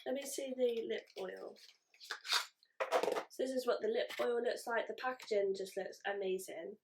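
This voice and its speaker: A woman's voice: on the high side, fairly even intonation, moderate pace, noisy background. A woman chatting casually through a beauty unboxing, sounding nasal from a cold. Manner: chatty, rambling, self-correcting. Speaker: beauty vlogger.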